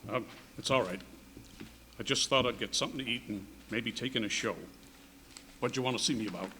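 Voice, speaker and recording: An actor in a radio drama re-enactment playing a terse, steady police detective with a clipped, matter-of-fact delivery. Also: male voice, mid-range voice, brisk, slight background noise, lightly acted. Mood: calm, probing. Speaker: actor in a staged radio tribute.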